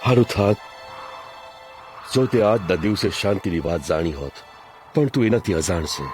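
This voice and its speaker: A man acts out a solemn male prophet with a Kathiyawadi Gujarati accent. His voice sits fairly low and his delivery is measured and declamatory. Clear music plays behind this scripture drama. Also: close to an everyday voice. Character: Jesus, a solemn, authoritative male teacher and prophet.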